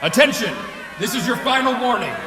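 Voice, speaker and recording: A man's voice: very high-pitched, lively intonation, fairly quick. A man playing an anxious, commanding border officer in a dramatised emergency scenario, barking orders. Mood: stern, shouting. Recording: echoing, reverberant space with crowd noise.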